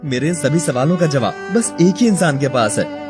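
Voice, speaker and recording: An actor playing a troubled, jealous young husband in an audio story drama, earnest and confused. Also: male voice, fairly high pitch, animated, normal speed, over music, natural voice. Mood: confused, explaining. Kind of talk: acted Hindi audio story dialogue.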